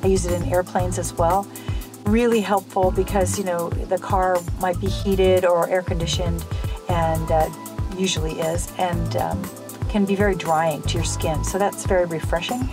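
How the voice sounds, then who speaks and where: A woman's voice: on the low side, steady tone, moderate pace, music in the background. Chatty woman in her fifties reviewing snacks. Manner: chatty, enthusiastic, exclaims over items. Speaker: host. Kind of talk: casual chat, product review. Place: parked car. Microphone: camera mounted in car.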